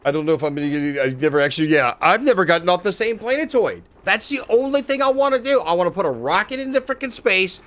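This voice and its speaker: A man's voice: high voice, quick pace, few pauses. A man live-streaming a game, chatting casually with viewers and swearing freely as he plays. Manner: excitable, swears casually, talks to chat.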